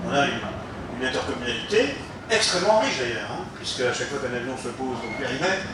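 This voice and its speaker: A man speaks from some way off in a strongly echoing room, with faint noise around him. He explains like a local politician, didactic and emphatic, pausing and searching for his words. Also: mid-range voice, sing-song. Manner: halting, emphatic, didactic with rhetorical questions. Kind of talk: unscripted explanatory speech to listeners. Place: reverberant room, recorded from some distance. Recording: very echoey room, distant mic, faint noise.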